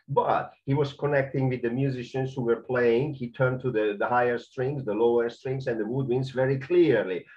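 Male conducting teacher with a Hungarian accent, measured and a bit halting, medium pitch, mildly lo-fi sound. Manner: careful, non-native phrasing with frequent fillers. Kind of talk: spoken video lesson with commentary.

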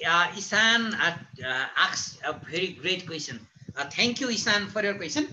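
A man in his thirties with a Nepali accent moderates an online panel as a teacher, speaking haltingly and repeating phrases for emphasis. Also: very high voice, sharp tone. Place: indoors, plain-walled room. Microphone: computer mic on a video call. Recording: noisy video-call audio with clicks.

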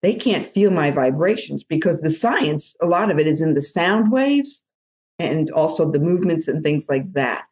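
A woman's voice: lively intonation, normal speed, a little mellow. A woman drum therapist, a podcast guest, telling stories with energy and acting out other people's voices. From New Jersey. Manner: acts out other people's voices with big jumps in pitch. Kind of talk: animated storytelling in conversation.

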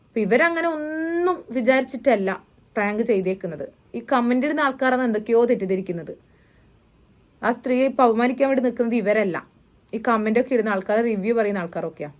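A woman speaks very quickly in a slightly high voice. She hosts reaction commentary, delivering her opinions in a disapproving, sarcastic manner. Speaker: reaction video host. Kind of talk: opinion commentary on a viral video.

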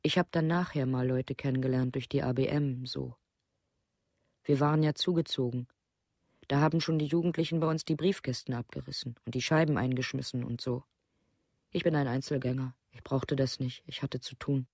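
A woman's voice: a bass voice, fairly even intonation, normal speed, a little mellow, no room sound, clean studio sound. An actress playing an embittered, plain-spoken village mother in a documentary radio play, blunt and repetitive. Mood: resigned, guarded.

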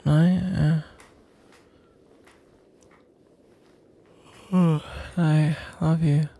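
A man acts out a shy, flustered young guy in an intimate ASMR roleplay. His voice is soft-toned and mellow, husky with breath and thick with creak. He stammers and mumbles awkwardly, like a sleepy best friend who is smitten. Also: fairly high pitch, flat delivery, extremely slow, exaggerated voice. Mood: shy, tender.

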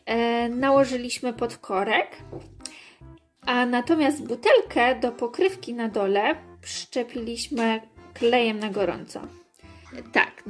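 A woman in her thirties explaining things to children in a casual, hesitant way, indoors at home. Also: on the high side. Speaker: host of children's videos, mother. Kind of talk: casual instructional chat with children.

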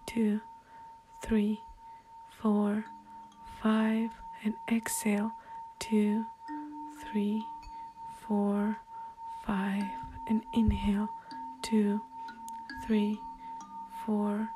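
A woman guiding a meditation in a soft, hushed, breathy way, leaving long pauses between instructions. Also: mid-range voice. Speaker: meditation guide. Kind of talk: guided meditation narration. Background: soft meditation music.

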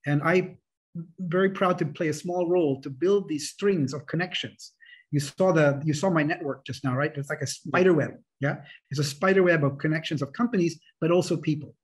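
A middle-aged man speaks on an online panel in a fairly high, husky voice. He explains his approach as an architect would to peers. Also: a little fast. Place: indoors on a video call. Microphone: video call microphone.

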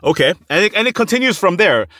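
A man's voice: high voice, big pitch swings, fast, a bit bright. A man hosting a podcast, interviewing in an enthusiastic conversational way.

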